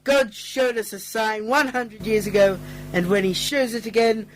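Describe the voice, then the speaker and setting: A man's voice: sits high, steady tone, moderate pace, a little hiss or hum, natural voice, low-quality recording. A man playing a zealous, solemn doomsday preacher in an amateur radio play, preachy and earnest. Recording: amateur recording with faint background ambience.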